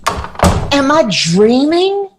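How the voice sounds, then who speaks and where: Lively, high-voiced mother, noisy background. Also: drawn-out words, full character voice. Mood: stunned. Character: distracted, wry mother of grown children, movie buff. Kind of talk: audio drama acting.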